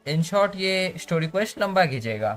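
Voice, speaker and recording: A man's voice: sits high, sing-song, racing, a bit bright, faint music behind. A man with an Indian accent doing casual live game commentary and reading dialogue aloud, in a grumbling manner. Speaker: live streamer.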